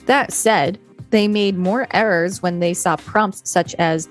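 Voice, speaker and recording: A woman co-hosting a podcast, reading an encyclopedia article in a measured, explanatory way. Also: on the low side, faint music behind. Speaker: podcast co-host. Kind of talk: reading an encyclopedia article aloud with brief chat.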